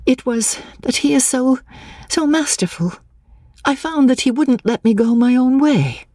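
A woman voicing an excitable, desperate young Englishwoman in an audiobook, gushing breathlessly and stammering over repeated words. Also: high voice, unhurried, no room sound, clean studio sound, pitched above the performer's own voice. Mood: flustered. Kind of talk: audiobook character dialogue.

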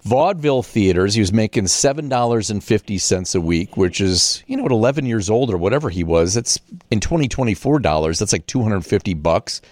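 Male radio interviewer, lowish voice with animated swings in pitch, presenting and chatting. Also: fairly quick, dry recording. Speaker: radio host. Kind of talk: scripted introduction, then conversational interview.

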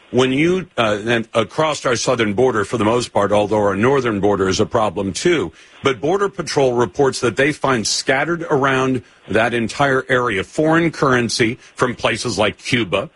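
A man's voice: mid-range voice, fairly bright tone, breathy edge. A man hosting a call-in radio talk show, pressing callers hard with pointed questions and cutting in.

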